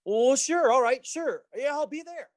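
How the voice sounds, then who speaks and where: A man's voice: very high voice, a little slow, dry recording, clean studio sound. A man playing an earnest, flustered young small-town family man in an old-time radio play, stammering and using folksy exclamations.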